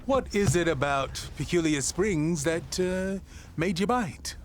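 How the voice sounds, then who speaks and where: An actor playing a genial, eccentric older radio station boss in an audio drama, speaking in a courtly, halting, wordy way. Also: male voice, fairly high pitch, animated, a little hiss or hum. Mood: welcoming, curious.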